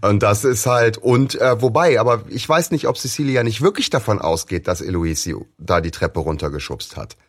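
A man's voice: lowish voice, lively intonation, normal speed, few pauses, slightly rough. A man co-hosting a fan podcast, explaining a story's plot step by step in casual discussion. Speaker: podcast co-host.

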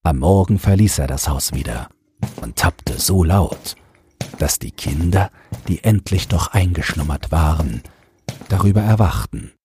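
A man's voice: animated, unhurried, noisy background. A man narrating a fairy tale from a script, as the storyteller of an audio drama. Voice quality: deep, very husky.

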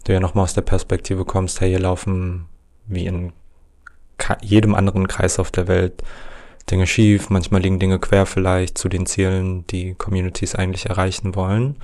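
A man's voice: deep voice, monotone, normal speed, a little mellow. A man hosting a podcast interview, friendly and careful, choosing his words as he asks questions. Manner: friendly, careful, searching phrasing. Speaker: podcast host.

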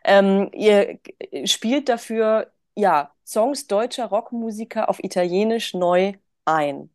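A woman's voice: mid-range voice, slow, darkish tone, dry recording. Dry-sounding woman hosting a podcast. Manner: friendly, curious questioning. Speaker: podcast host. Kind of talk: casual interview.

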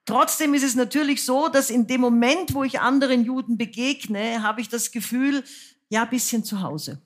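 A woman's voice: on the high side, moderate pace. Woman guest on a stage, frank and self-mocking, chatty with many fillers. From United States. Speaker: guest singer and author. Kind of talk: stage talk before a live audience. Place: cultural centre stage with audience.

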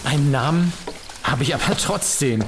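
A man playing a level-headed professor in a German science-fiction audio drama, speaking in a measured, analytical way. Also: fairly high pitch, natural voice. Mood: tense, dry. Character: level-headed male professor exploring an alien mystery. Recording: echoey hall reverb with noise.

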